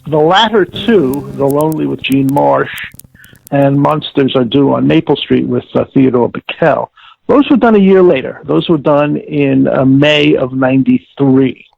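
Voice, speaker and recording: A man speaking off the cuff as an interview guest, recollecting in a hesitant, halting, stammering way. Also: medium pitch, gravelly, no room sound. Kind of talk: casual recollection. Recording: dull, muffled lo-fi voice track.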